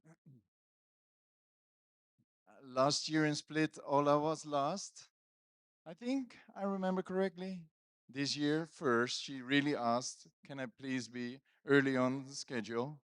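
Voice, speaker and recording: Man, conference host, unhurried pace, dry close sound, casual joking banter, stage microphone. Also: on the high side, clear, resonant tone, no echo. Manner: joking, teasing banter with audience and guest. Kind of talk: casual live stage hosting. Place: conference stage at live event. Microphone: handheld stage microphone.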